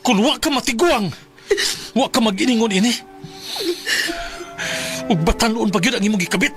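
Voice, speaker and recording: An actor playing a wounded, stern betrayed husband with dramatic, reproachful radio drama delivery. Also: male voice, sits high, normal speed, over music. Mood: angry, hurt.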